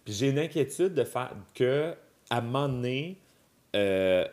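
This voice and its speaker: A man's voice: mid-range voice, animated, a little slow, a little mellow. Québécois man, thirties, podcast guest, talks it through with lots of asides. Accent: Québécois French. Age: 30s. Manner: chatty, explains with examples and asides. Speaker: podcast guest, drag performer. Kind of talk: casual chat.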